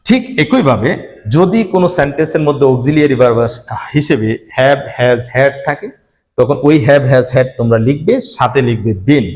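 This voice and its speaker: A man teaching an English grammar lesson, explaining rules step by step to his students and pausing as he writes examples. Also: medium pitch, darkish tone.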